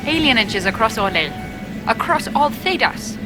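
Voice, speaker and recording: A woman's voice: on the high side, very animated, normal speed. Guarded, proud elven woman ambassador, music behind. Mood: calculating, firm. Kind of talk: video game character dialogue.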